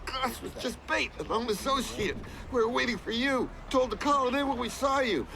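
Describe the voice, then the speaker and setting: A man's voice: very high voice, normal speed, gravelly, a crowd in the background, poor audio. An actor playing a frightened male hired tail in a video game, blurting answers in a strained, fearful way. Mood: scared confession. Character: frightened male hired tail spilling secrets under threat. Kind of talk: video game cutscene acting.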